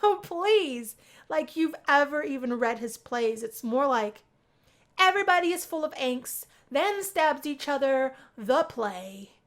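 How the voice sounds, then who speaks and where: A shy and bookish young college student with a fairly high voice, acted out by a woman. She is the earnest heroine of a visual novel. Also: expressive, measured pace. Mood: playful indignation.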